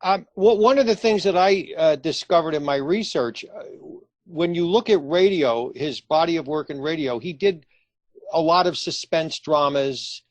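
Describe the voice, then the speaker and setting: A man's voice: on the high side, moderate pace, no room sound. A man conducting a casual interview, feeling his way through questions in a conversational style. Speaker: interviewer, radio theatre company director. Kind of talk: casual, hesitant interview chat.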